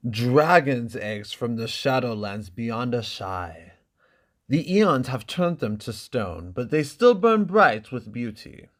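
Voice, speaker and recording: A man voicing an ingratiating older merchant with slow, grand courtesy in a dramatic fantasy reading. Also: medium pitch, lively intonation, fairly bright tone. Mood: grand, reverent. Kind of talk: dramatic graphic novel reading.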